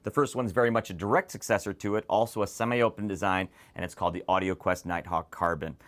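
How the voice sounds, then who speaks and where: A man's voice: low-pitched, steady tone, fast talker, fairly bright tone. A man hosting a product preview, speaking straight to viewers in an enthusiastic, emphatic scripted style. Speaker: host and presenter of a headphone show.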